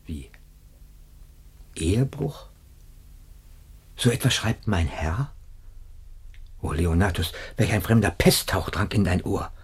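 A raspy, gravelly loyal servant in a classical radio play. He speaks slowly and declaims in torment, turning indignant at his master. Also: sits low, animated, full character voice. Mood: shocked, troubled. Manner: agonised, declamatory, swinging into whispers. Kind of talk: classical radio drama acting.

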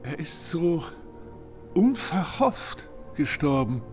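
A bewildered, frightened blind man in a radio play. His high voice swings widely and theatrically over faint music. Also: sing-song, slow pace. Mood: sombre. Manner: theatrical, fretful. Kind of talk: German radio play acting.

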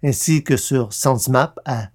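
Guy reading scripted podcast narration n announcements, formal, careful diction, average pitch. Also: takes it slowly, a little mellow.

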